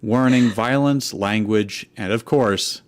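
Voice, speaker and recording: A man's voice: lowish voice, slow, a little hiss or hum. A man co-hosting a fanfiction comedy show, mixing casual chat with reading aloud.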